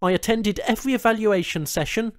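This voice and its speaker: A man's voice: high voice, fairly quick, no echo, clean studio sound, pitched above the performer's own voice, natural voice. A man playing an anxious, indignant human captive in a science fiction audiobook, protesting and questioning his captors with wry disbelief. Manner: protesting, questioning, wryly incredulous.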